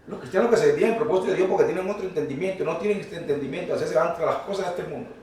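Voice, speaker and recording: A man's voice: on the high side, racing, darkish tone, far from the mic. A man from Colombia preaching a fervent, emphatic sermon to a congregation that answers back, caught by a room microphone in a church hall. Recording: very echoey hall, voice from afar.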